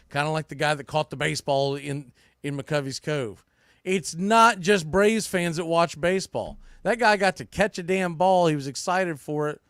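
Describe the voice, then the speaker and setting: High-voiced man, emphatic sports podcast host. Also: a bit bright, dry recording, studio quality. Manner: emphatic, repeats phrases for emphasis; colloquial, blunt. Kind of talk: opinionated solo baseball commentary.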